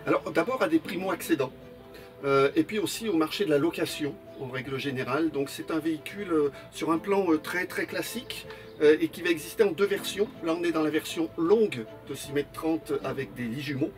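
A man with a fairly high voice explains a motorhome model in an interview, steady and matter-of-fact. He speaks inside the vehicle, and a faint music bed runs behind him. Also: brisk. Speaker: manufacturer representative.

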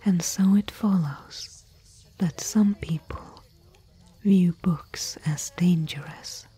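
Breathy, whispering bookish woman traveler, acted by a woman. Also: on the low side, full character voice. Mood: gentle, musing. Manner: gentle, musing, deliberate. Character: thoughtful bookish female desert expedition companion. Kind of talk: soft-spoken ASMR roleplay.

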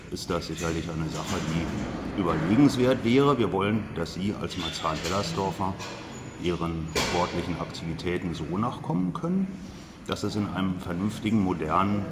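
A man giving a political update to camera as a local politician. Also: deep voice. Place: large glass-walled building lobby, indoors. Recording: noisy, ambient sound of a lobby.

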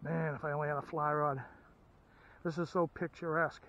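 A man's voice: a little high, little pitch movement, a little fast, fairly bright tone, highs cut off. A middle-aged man giving casual, folksy vlog commentary outdoors on a handheld camera, gushing with enthusiasm. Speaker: outdoors and ham radio vlogger. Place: outdoors, by a stream and at a parked car.

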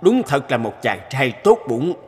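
A man playing a stern but fair old emperor of heaven in an animated fairy tale, stately and commanding. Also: mid-range voice, a little slow, in a large hall, a little hiss or hum. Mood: pensive, benevolent.

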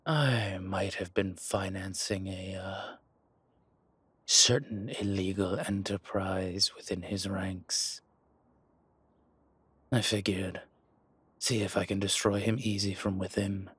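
Man playing a husky, slow-talking dragon mob boss. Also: low-pitched, steady tone, fairly bright tone, no echo, exaggerated voice. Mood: scheming. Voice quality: husky, breathy. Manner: measured, smooth-talking, deliberate pauses. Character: suave, scheming male dragon mob boss. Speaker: audio roleplay performer. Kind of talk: slow, intimate scripted roleplay monologue.

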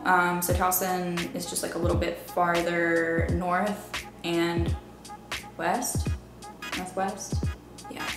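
A woman from Maryland rambling casually to camera in a vlog, full of "like" fillers. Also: mid-range voice. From Baltimore area, Maryland. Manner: rambling, filler-heavy "like" talk. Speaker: vlogger. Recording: roomy echo with music behind.

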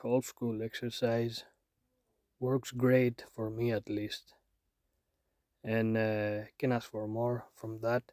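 A man's voice: medium pitch, no echo, clean studio sound. A man in his thirties, a fitness vlogger, talking casually and tiredly about his home workout, with fillers and restarts. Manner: relaxed, plain-spoken, many fillers and restarts. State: tired from little sleep. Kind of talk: casual narration over workout clips. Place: small room at home, indoors.

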